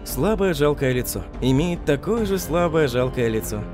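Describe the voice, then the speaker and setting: A man's voice: a little high, lively intonation, moderate pace, over music, natural voice. A man voicing a droll commentator in a comic dub, making dry, repetitive remarks. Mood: dry, mocking.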